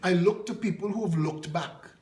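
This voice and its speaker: A Trinidadian man with a high, ringing voice delivers a fiery political address. He is scornful and full of rhetorical questions. The room around him is echoey. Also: normal speed, a little mellow. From Trinidad and Tobago. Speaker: political party leader.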